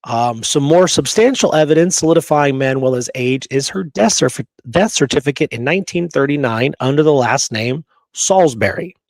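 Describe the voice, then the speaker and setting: A man's voice: fairly high pitch, lively intonation, moderate pace, dry recording. A man hosting a history show, presenting research emphatically, partly from prepared notes, often checking in with listeners. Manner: emphatic, checks in with rhetorical right and okay.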